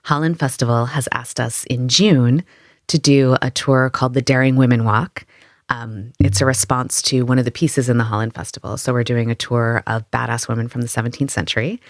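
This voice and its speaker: A woman who runs history tours in the city, answering interview questions in an animated way, thinking aloud with many fillers in the local language. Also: very deep voice, fairly quick, no room sound, studio quality. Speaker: tour guide and storyteller. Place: Amsterdam.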